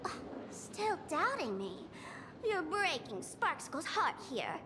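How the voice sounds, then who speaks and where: Game role: teasing young female trickster, very high, animated delivery, unhurried, theatrical, over loud music. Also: noisy background, exaggerated voice. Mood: mock hurt, boastful. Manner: dramatic, speaks of herself in third person. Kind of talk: scripted video game voice acting.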